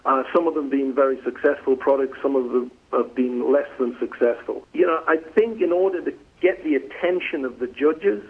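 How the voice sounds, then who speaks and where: A middle-aged man from the northeast of England, with an English accent softened by years in the US. He is a company president giving an interview and often repeats a word as he starts a sentence. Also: mid-range voice, normal speed, hardly any pauses, breathy edge. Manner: conversational, repeats words when starting sentences. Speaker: flooring company president, guest. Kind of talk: phone interview. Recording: tinny phone line.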